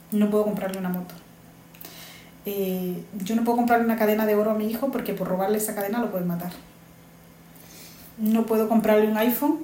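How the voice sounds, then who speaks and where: A woman's voice: medium pitch, dark tone, slight background noise. Earnest woman in an echoey room. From Honduras. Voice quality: earnest, repeats herself for emphasis. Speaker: interviewee, migrant mother. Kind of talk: earnest personal testimony. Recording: echoey room with faint ambience.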